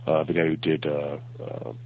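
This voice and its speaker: A low-voiced man talks in a relaxed, chatty way, and a cold leaves his voice raspy. He keeps stopping to restart his sentences. The sound is narrow and tinny, as if over a phone call. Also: normal speed. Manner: chatty, animated, with many fillers and restarts. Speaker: film graphic consultant and co-host. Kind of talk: casual podcast chat.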